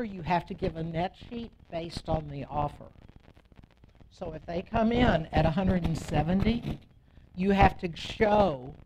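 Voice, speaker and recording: A woman's voice: fairly low pitch, darkish tone. Blunt woman trainer, muffled noisy recording. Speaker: real estate trainer leading a class. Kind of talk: informal classroom instruction with role-played client dialogue. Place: training room with attendees.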